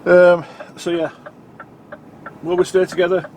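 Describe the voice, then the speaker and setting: A man's voice: sits high, little pitch movement, a little slow. A middle-aged man with a blocked nose, a truck driver chatting casually and rambling to camera from his cab while driving. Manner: rambling, chatty, self-correcting. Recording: loud road noise behind.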